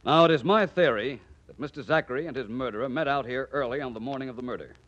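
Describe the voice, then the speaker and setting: An actor playing a suave, self-assured male detective who explains things politely and deliberately in 1940s radio drama style. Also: medium pitch, fairly bright tone, close to an everyday voice. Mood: commanding. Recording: muffled, noisy 1940s radio recording.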